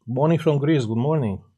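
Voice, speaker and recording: A man from Romania with a Romanian accent, speaking English as a second language and hosting a casual live stream. He pauses often on fillers while greeting viewers and answering their comments. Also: mid-range voice, warm tone, slightly rough, muffled recording.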